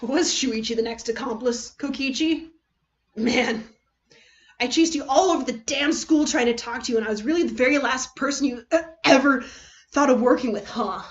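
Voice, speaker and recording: Woman acting a hot-headed, high-voiced anguished teenage boy. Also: normal speed, lo-fi sound, lightly acted. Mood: bitter amusement.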